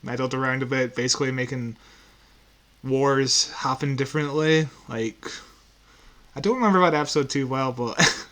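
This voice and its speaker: A man with an average-pitched voice chats informally as a podcast co-host. His delivery is halting and giggly. He sounds unscripted throughout. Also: fairly bright tone. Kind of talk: casual chat reviewing audio dramas.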